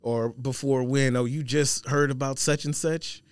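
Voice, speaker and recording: A man's voice: mid-range voice, steady tone, a little slow, clear, resonant tone, no room sound. A man speaking as a podcast guest in informal, conversational casual chat. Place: studio.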